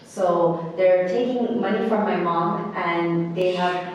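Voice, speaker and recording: A woman in her thirties from Bangladesh, telling her life story as an interview guest in an earnest, halting way with many restarts. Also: lowish voice, darkish tone, slight background noise. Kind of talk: personal storytelling in conversation. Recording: echoey hall, mic at a distance.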